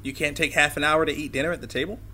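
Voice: mid-range voice, fairly even intonation, rapid-fire, bright, forceful tone, slightly rough. An actor playing a caring, wry parent of a teenager in an audio drama, talking casually. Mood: wry, concerned.

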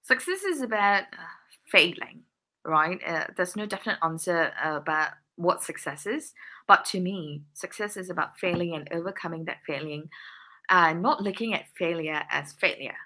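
Podcast guest: woman, lower pitch, bright tone, earnest and laughing often. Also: lively intonation, normal speed. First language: English. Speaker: guest, workplace diversity consultant. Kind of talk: podcast interview answers.

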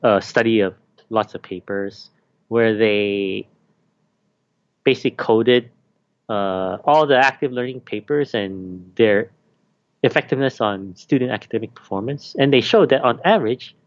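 A man who was born in Malaysia and raised in Canada, a university teaching fellow answering interview questions in a hesitant, halting way. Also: lowish voice, no room sound, highs cut off. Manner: hesitant, many fillers and restarts.

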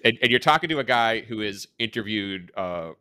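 A man's voice: lowish voice, lively intonation, normal speed, sharp tone, smooth. A man hosting a political podcast, reading a scripted intro with dry, wry asides, then interviewing a guest. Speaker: podcast host.

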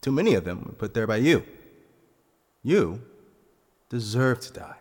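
A man playing a vengeful, cold nobleman in a fantasy audio drama, making deliberate, eloquent threats. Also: medium pitch, big pitch swings. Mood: menacing. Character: vengeful, menacing nobleman posing as castle phantom. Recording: long hall reverb.

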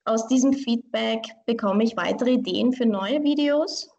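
A woman's voice: on the high side, moderate pace, vocal fry, dark tone, muffled recording. A young woman from Vienna, a pianist answering interview questions in a thoughtful, earnest way and often restarting phrases. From Vienna, Austria. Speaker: pianist and online piano teacher.

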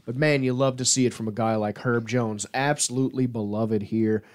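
A man's voice: mid-range voice, steady tone, moderate pace. A man is hosting a casual sports podcast. He talks informally and likes to tease, with playful banter and a middle-range voice. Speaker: podcast host.

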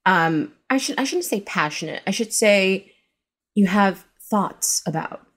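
A woman's voice: medium pitch, animated, measured pace. A woman hosting a podcast, interviewing casually and feeling her way through her sentences. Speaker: podcast host.

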